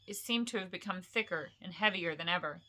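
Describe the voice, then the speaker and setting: A woman reading a fantasy novel aloud as an expressive storyteller, with deliberate, dramatic phrasing. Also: lowish voice.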